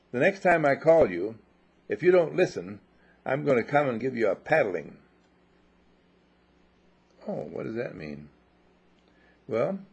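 A man with a slightly husky, mid-pitched voice gives a spiritual sermon. He talks emphatically, repeats phrases and keeps asking his listeners rhetorical questions. The sound is muffled and lo-fi. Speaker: spiritual teacher. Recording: dull lo-fi recording.

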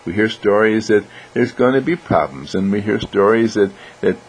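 A man voicing Mister Candle, a kindly talking candle who tells children bedtime stories in a gentle, reassuring, deliberate way. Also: fairly low pitch, expressive, breathy edge. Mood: soothing, instructive.

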